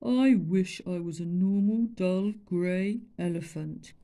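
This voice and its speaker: A woman's voice: lowish voice, slow pace, dark tone. A woman doing a mournful, grandly self-pitying elephant.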